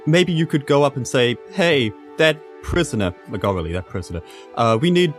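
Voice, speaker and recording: A man's voice: mid-range voice, lively intonation, moderate pace, soft background music. A man chatting casually as a player in an improvised tabletop role-playing game. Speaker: player in a tabletop RPG podcast.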